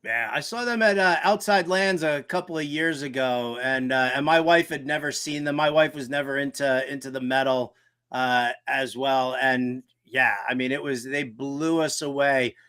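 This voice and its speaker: A man's voice: medium pitch, steady tone, normal speed, fairly bright tone, smooth. A middle-aged man hosting a casual sports talk show, emphatic and repeating phrases for effect. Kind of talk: casual radio chat.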